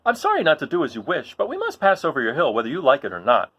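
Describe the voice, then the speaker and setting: A man's voice: a little high, huge pitch swings, fast, fairly bright tone, slightly rough, muffled recording, exaggerated voice. A man voicing a resolute living scarecrow in a storybook, polite but firm.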